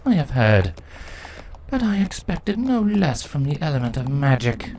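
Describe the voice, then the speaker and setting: A woman's voice: low-pitched, sing-song, mellow. A woman voicing a cold, imperious, vengeful changeling queen in a dramatic fantasy story reading. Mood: cold, disdainful. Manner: imperious, measured, menacing. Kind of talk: dramatic fan fiction audio reading. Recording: clearly noisy recording.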